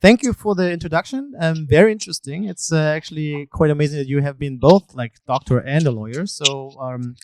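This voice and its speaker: A man's voice: fairly high pitch, fairly quick, hardly any pauses, no room sound. A man moderating an interview, polite and a little hesitant, with frequent fillers. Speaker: interviewer, attorney.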